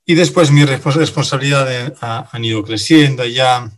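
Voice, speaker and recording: Hombre con acento italiano, titubeante. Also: male voice, mid-range voice, normal speed. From Italy. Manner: hesitant, many fillers and restarts. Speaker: guest, finance director. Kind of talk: radio interview.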